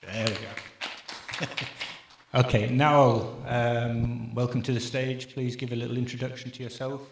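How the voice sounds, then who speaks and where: An upbeat man moderates a conference panel from the stage, with quick asides to the crowd. His mid-range voice rings around a big, echoey hall. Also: mid-range voice, fairly even intonation.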